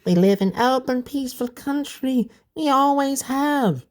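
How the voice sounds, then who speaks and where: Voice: high-pitched, a little slow, pitched above the performer's own voice. A storybook reader voicing an anxious, plaintive young child. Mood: tearful, defiant.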